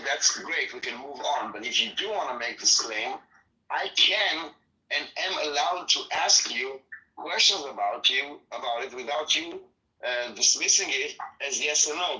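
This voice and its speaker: A man's voice: medium pitch, bright, forceful tone, roomy sound, distant mic, poor audio. A man whose first language is Hebrew, arguing hard in an online debate, pressing his point and repeating himself when he is cut off.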